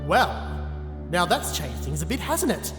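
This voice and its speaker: A cheeky, wily scarecrow, swinging up and down in mock-polite teasing. The fantasy radio play has an echoey sound with music beneath. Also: male voice, a little high, wildly swooping pitch, a little slow. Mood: smug. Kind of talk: audio drama acting. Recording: echoey hall, music underneath.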